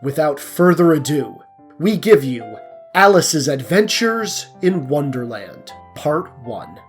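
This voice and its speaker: A woman's voice: sits low, big pitch swings, slow pace. A woman announcer reading a scripted introduction to a programme. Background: faint guitar music.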